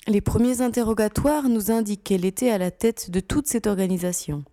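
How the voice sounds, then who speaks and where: Mellow, close, sober female officer played by a woman. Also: medium pitch, fairly quick, dry recording, close to an everyday voice. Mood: composed. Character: composed, serious female security officer. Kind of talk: audio drama dialogue.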